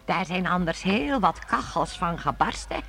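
A woman playing a giggly old village woman in a Dutch radio play, halting and repeating herself in a creaky voice. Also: on the low side, lively intonation, fairly bright tone. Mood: chatty, amused. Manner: halting, repeats words, chuckles often. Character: giggly, chatty old village woman, well over seventy. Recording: faintly noisy old radio recording.

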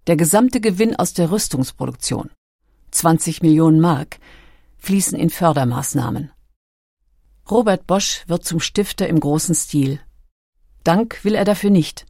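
Female narrator, lowish pitch, dry sound, measured factual reading, scripted documentary. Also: warm tone, no room sound.

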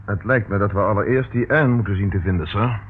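A man's voice: lowish voice, fast talker, husky. An actor playing a dogged, polite male police detective in a Dutch radio play, inquisitive and businesslike. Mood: keen, businesslike. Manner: inquisitive, businesslike, thinking aloud. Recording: tinny, band-limited old radio recording with noise.

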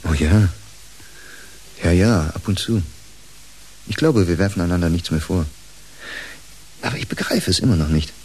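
Casting: searching, reflective doctor, lowish pitch, intimate radio drama dialogue, faint hiss. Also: male voice, moderate pace, pauses often, darkish tone, low-quality recording. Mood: brooding. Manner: earnest, hesitant, reflective. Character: brooding, divorced male doctor, earnest and searching. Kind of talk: German radio play acting.

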